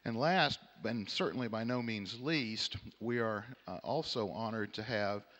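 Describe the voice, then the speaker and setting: A middle-aged man from Texas with a Southern American accent moderates a conference panel, addressing the audience in a measured, courtly, wry way. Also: fairly low pitch, fairly bright tone. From Houston, Texas, United States. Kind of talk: conference opening remarks to an audience. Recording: echoey hall with long reverb.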